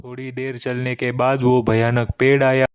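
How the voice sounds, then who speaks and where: Male narrator for animated children's tales, medium pitch, scripted telling over clear background music. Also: normal speed, muffled recording.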